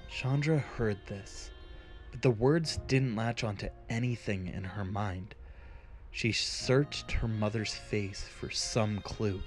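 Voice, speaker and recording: A man narrating a scripted fantasy story in a solemn, measured, dramatic style. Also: lowish voice, faint music behind.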